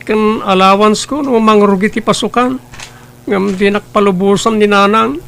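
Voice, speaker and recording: A man playing a headstrong young man in a spoken family drama, pushing his case quickly and insistently. He sounds higher than the grandfather, with a bright, gravelly edge. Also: fairly even intonation. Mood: earnest.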